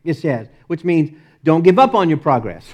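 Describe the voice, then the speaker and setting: Emphatic Texan pastor preaching. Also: male voice, on the high side, sing-song, unhurried. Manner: emphatic, repeats phrases, laughs often, dynamic swings between loud and soft. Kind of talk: sermon.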